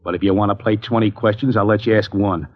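An actor playing a menacing, sardonic gunman in a 1940s radio crime drama, husky and terse. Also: lowish voice, fairly even intonation, fast, natural voice. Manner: terse, tough-guy commands. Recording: dull vintage radio recording.

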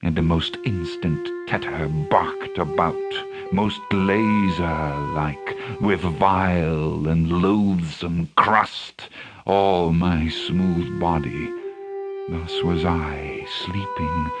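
A man playing the bitter ghost of a murdered king in a solemn, declamatory Shakespearean monologue with a husky, breathy voice. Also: low-pitched, fairly even intonation, extremely slow, over loud music. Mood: grave, haunted. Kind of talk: Shakespearean stage tragedy monologue.

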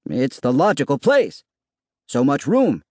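A man's voice: mid-range voice, huge pitch swings, measured pace, no room sound, clean studio sound, pitched above the performer's own voice. A man voicing a good-natured husband of nearly fifty, exasperated and overdoing his crossness. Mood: flustered, pleading. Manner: loud, exasperated, shouting.

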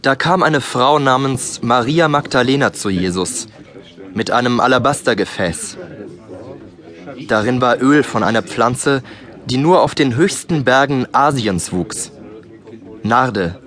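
A man's voice: medium pitch, lively intonation, unhurried, fairly bright tone. A man playing a disciple who narrates his memories reflectively, in scripted children's audiobook style. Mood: reflective, explaining. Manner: reflective, storytelling. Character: male disciple recounting memories as storyteller.

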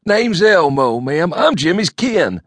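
Hick cousin read by a male narrator: raised, booming, folksy drawl, bouncing pitch. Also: very animated, slow, a bit bright, no echo, full character voice. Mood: hearty, folksy. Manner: folksy drawl, ungrammatical country talk. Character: bumbling rural hick cousin, man, loud and folksy. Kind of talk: audiobook character voice.

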